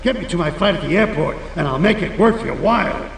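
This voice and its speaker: A man's voice: high voice, animated, fairly quick, vocal fry. An actor playing a corrupt, agitated and desperate man in a video game cutscene. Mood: anxious urgent. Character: corrupt, panicky, irate man in deep trouble. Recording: echoey, noisy, distant sound.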